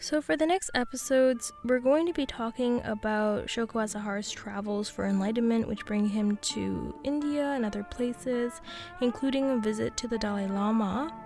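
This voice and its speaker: A woman's voice: a little high, moderate pace, gravelly, darkish tone, soft background music. A woman co-hosting a podcast, explaining things in a conversational way. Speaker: podcast co-host.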